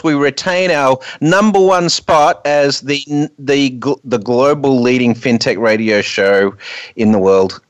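A man's voice: on the high side, a bit bright, no room sound. Male radio host in a dry studio. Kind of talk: unscripted radio show hosting with announcements.

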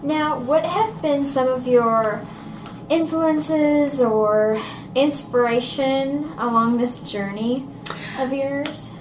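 Slow, high-voiced woman asking questions. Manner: encouraging backchannel responses. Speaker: interviewer. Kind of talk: casual interview chat. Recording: distant roomy recording with background noise.

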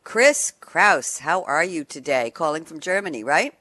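A radio show host introducing a panel discussion, upbeat and clearly spoken, asking rhetorical questions. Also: medium pitch, lively intonation, unhurried, bright, forceful tone, dry recording.

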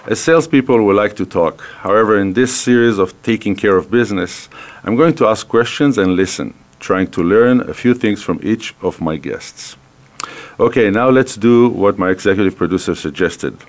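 A man's voice: lowish voice, moderate pace. A man in his fifties from Israel with an Israeli accent, hosting a business interview from a radio studio and talking hesitantly with many fillers and restarts. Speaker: radio show host.

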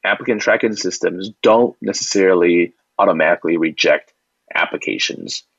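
A man speaking as an interview guest, explaining his product conversationally in a halting way with repeated words. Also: low voice, vocal fry, a bit bright, husky, no room sound. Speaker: company founder and CEO, interview guest. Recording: dull lo-fi band-limited audio.

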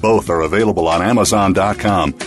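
A man's voice: low voice, brisk. A man reading a scripted radio promotion. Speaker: promo announcer. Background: faint music bed.

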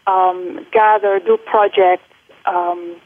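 A woman's voice: lowish voice, fairly even intonation, slow pace, no echo. A woman in her forties from the Netherlands with a Dutch accent, touched by British and American tones, talking through an interview with many fillers and restarts. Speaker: guest, college faculty member. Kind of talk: interview conversation. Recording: dry, phone-like line.

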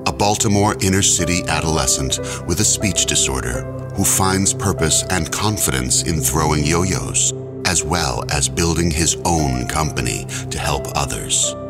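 A man's voice: rumbling low, animated, measured pace. A man hosting, reading a scripted promotional introduction. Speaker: host introducing a film and guest. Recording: loud music behind voice. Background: loud music.

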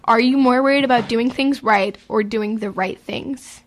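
A woman's voice: fairly high pitch, normal speed. A teenage girl co-hosting a kids' talk show, chatty and giggly, often talking over her co-host. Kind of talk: casual chat.

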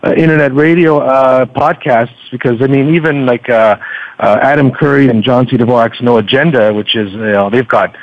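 A man who is a podcaster, chatting casually as an interview guest in a halting, affable way. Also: mid-range voice, fairly even intonation. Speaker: podcaster guest plugging his show. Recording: muffled internet radio connection.

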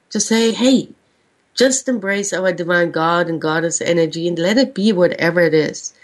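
A woman with a German accent hosting a spiritual radio show, speaking in a gentle, earnest way with slow, drawn-out phrases. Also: medium pitch. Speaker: spiritual radio host and channel. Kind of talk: reflective spiritual talk.

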